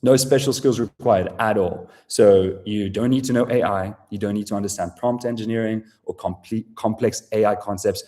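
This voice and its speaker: A South African man hosts a live sales webinar, talking in a low, dark, mellow voice. His delivery is casual and salesy, full of swearing, fillers and restarts as he pushes his offer to viewers. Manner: casual, profane, filler-heavy sales talk. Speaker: webinar presenter.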